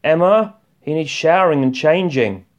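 A man's voice: a little high, lively intonation, normal speed, muffled recording, pitched above the performer's own voice, lightly acted. A man voicing an exasperated, weary wife and mother in a novel reading, in an emphatic manner. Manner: indignant, emphatic. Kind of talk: audiobook-style novel reading.

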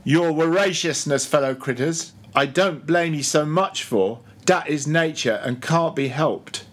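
Man acting a grumbling old ship's cook, preaching loudly. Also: on the high side, a little slow, voice pushed up. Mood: earnest preaching. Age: older. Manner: grumbling, solemn mock-preacher delivery. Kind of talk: audiobook character voice.

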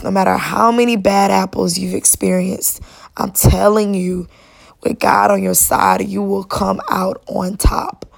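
A young woman hosting a solo podcast, her voice raspy and worn, talking in a casual, chatty, encouraging way. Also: medium pitch. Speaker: podcast host.

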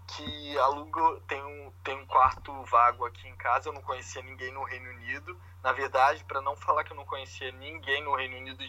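Brazilian man with a very bright, forceful voice. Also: medium pitch, low-quality recording. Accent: Brazilian Portuguese. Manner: informal, slangy, anecdotal. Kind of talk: casual conversational chat.

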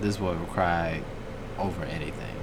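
A young man co-hosting a casual podcast chat, laid-back and deadpan, swearing freely. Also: low-pitched, normal speed. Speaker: podcast co-host. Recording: noisy room background.

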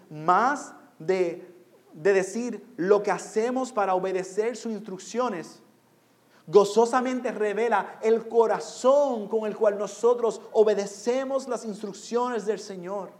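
Male preacher, high-voiced, native English speaker. Oratorical sermon delivery, deliberate phrasing, shifts from hushed to forcefully proclaimed. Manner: oratorical, swinging from hushed lines to loud emphatic proclamation; deliberate phrasing with rhetorical questions. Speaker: pastor preaching to a congregation. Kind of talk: expository sermon.

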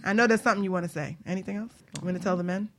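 A woman's voice: on the low side, fairly even intonation, very fast. A woman hosting a casual radio talk show, chatting in a playful, teasing way. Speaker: radio show host, business and life coach.

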